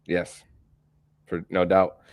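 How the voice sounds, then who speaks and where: A man hosting a casual sports podcast who speaks haltingly, filling his pauses and repeating words as he searches for his next thought. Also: low voice, monotone, no echo, muffled recording. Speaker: podcast host.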